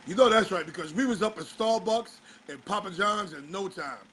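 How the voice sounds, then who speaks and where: Male host, very high bright voice, a bit hoarse, exasperated rhetorical talk-show riffing. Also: animated, normal speed, slight background noise. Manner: fires rhetorical questions, exasperated. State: slightly hoarse. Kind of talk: casual talk-show commentary.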